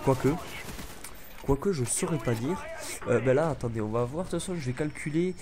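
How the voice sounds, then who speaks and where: A man's voice: mid-range voice, animated, a little fast. A young man from France with a stuffy head cold, rambling chattily over his gameplay with many fillers. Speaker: gaming YouTuber. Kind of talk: gameplay commentary.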